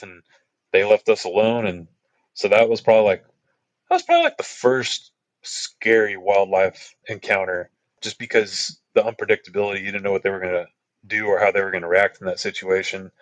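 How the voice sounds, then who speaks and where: A man's voice: expressive, moderate pace, no room sound, low-quality recording. A man from Texas, telling trail stories on a podcast in a rambling way, full of fillers and asides. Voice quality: deep voice. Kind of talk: casual storytelling.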